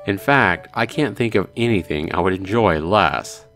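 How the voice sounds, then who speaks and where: A glum visual novel schoolboy, read by a man in a youthful, grumbling voice. He sounds sulky and dejected, with expressive pitch over background music. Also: normal speed.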